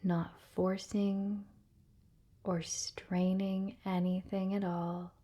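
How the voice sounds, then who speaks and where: A woman leading a guided relaxation meditation in a soft, breathy, often near-whispered voice, gentle and soothing with long pauses. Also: mid-range voice, level tone.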